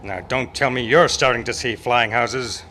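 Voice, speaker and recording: A man's voice: medium pitch, normal speed, pushed and bright, close to an everyday voice. A man playing a watchful, lonely Old West marshal in an old-time radio western parody. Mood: commanding, puzzled. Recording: club stage performance with faint music.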